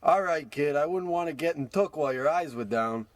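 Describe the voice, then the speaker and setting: Man playing a sly, high-voiced small-time crook. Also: lively intonation, a little fast, dry recording, natural voice. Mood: sly, wheedling. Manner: wheedling, slangy old-time wise guy. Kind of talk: comic old-time radio play acting.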